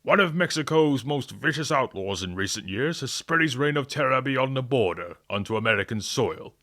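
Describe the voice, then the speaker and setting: An officious government man from a western radio drama. The voice is forceful and crisp-edged, with animated intonation. He speaks formally and with stern authority. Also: mid-range voice, moderate pace.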